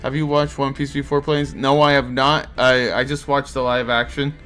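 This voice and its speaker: Male streamer, Portuguese accent, laid-back chatty host, moderate pitch, faint background music. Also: little pitch movement, moderate pace, a bit bright. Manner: easygoing, chatty, reacts to chat. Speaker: streamer hosting a card game tournament. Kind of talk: casual live-stream chat reading viewer comments.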